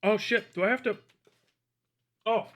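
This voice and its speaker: A man livestreaming in casual chat, mocking and animated, swearing freely as he rants. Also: high voice, measured pace, a little hiss or hum. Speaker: live streamer.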